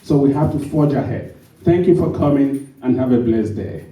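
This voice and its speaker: A man, a storyteller, giving a halting, then emphatic, speech to an audience. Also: mid-range voice, expressive, warm tone. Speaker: storyteller speaking at a summit. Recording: echoey hall, mic from a distance.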